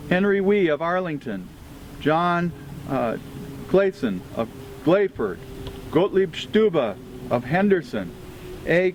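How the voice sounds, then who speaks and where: A man reads a formal scripted historical tribute at an outdoor commemoration, delivering it phrase by phrase in a measured way. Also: a little high, low-quality recording. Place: outdoors at a cemetery gathering. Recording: outdoor recording with clear ambient vehicle noise.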